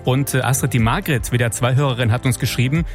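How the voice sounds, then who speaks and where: A man's voice: medium pitch, fast talker, soft background music. A man hosting a morning radio show, reading traffic and chatting casually. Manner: relaxed, chatty.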